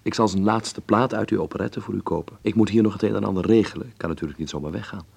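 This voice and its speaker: An actor playing an earnest, kindly young Dutch filmmaker in a radio drama, speaking in a courteous, reflective, sincere way. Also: male voice, lowish voice, fast, vocal fry, a little mellow, slightly rough, no room sound. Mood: sober, regretful. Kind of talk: radio drama acting with letter narration.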